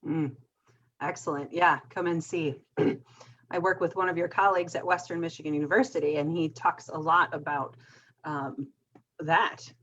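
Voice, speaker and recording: A woman moderating a panel, affirming the speakers and gently guiding the talk to the next guest. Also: deep voice, fairly even intonation, moderate pace, highs cut off, a little hiss or hum. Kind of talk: panel discussion.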